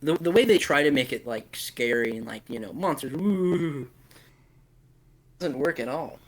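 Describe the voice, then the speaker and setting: Gravelly man chatting casually, blunt. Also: a little high, expressive, unhurried. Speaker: podcast host discussing films and games. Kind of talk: casual opinionated chat.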